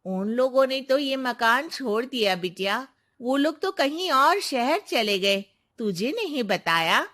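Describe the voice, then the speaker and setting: Woman acting high, bright gossipy neighbourhood lady. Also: expressive, takes it slowly, exaggerated voice. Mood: concerned. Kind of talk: Hindi cartoon moral story acting.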